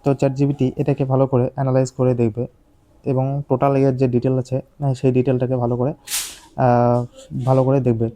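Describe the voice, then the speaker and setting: A male tutorial host walks through steps informally. His tone is very dark and soft, with little movement in pitch. He explains haltingly and repeats himself as he goes. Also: completely flat delivery, a little hiss or hum. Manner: repetitive step-by-step explaining, halting. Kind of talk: informal step-by-step software tutorial.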